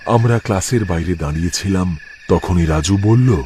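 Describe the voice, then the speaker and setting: A man's voice: low-pitched, normal speed, warm tone, a little hiss or hum. A man narrating a scripted first-person horror story for a cartoon. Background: music.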